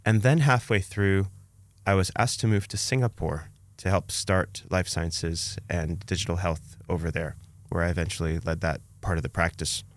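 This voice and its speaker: A man's voice: sits low, steady tone, normal speed, no echo. A man, telling his own story conversationally as a podcast guest. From Canada, born in Romania.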